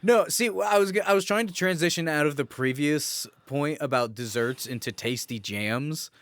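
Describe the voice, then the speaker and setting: A man's voice: on the high side, normal speed, a bit bright, dry recording. A man co-hosting a podcast in casual comedic banter, joking with crude humour. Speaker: podcast co-host.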